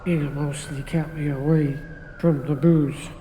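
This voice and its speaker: A man voices a gentle homeless gardener under questioning, slow and unsure, stumbling over his words. His tone is mellow and low-lit, with a long reverb tail and faint hiss behind him in this radio play. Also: fairly even intonation, lightly acted. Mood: wistful. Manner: halting, soft-spoken, hesitant. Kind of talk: audio drama acting.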